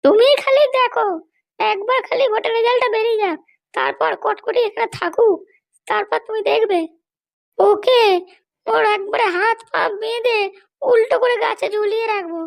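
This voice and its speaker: A woman's voice: extremely high pitch, normal speed, gravelly. A woman voicing a bossy, vengeful female politician and scolding wife in a comedy cartoon, haranguing and boastful.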